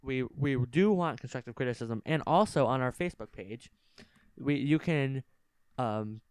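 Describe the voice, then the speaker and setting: A man's voice: medium pitch, moderate pace, smooth, dry recording. A young man co-hosting a casual podcast, explaining things plainly into a game controller microphone. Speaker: podcast co-host. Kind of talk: casual improvised podcast chat. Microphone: game controller mic.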